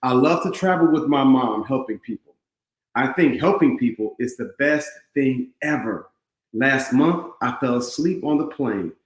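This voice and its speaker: Storybook role: man as affectionate eleven-year-old mama's boy, earnest and animated, roomy echo. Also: mid-range voice, measured pace, close to an everyday voice. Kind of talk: read-aloud children's storybook.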